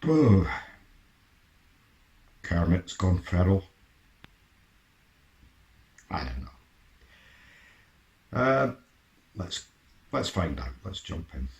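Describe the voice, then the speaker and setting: A middle-aged man giving casual reaction commentary as a show host, halting and musing as he lets thoughts trail off. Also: sits low, big pitch swings, a little mellow.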